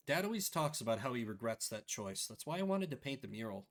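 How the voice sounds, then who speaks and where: A man's voice: medium pitch, sing-song, quick pace, a little hiss or hum, voice pushed down. A man voicing an earnest, inquiring young woman painter in a video game. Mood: earnest, questioning.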